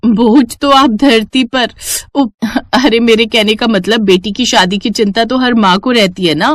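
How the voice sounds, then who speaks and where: A woman voicing a sharp-tongued, teasing young sister-in-law in a cartoon story, with a mocking, needling delivery. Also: a little high, fairly even intonation, unhurried, no echo, lightly acted.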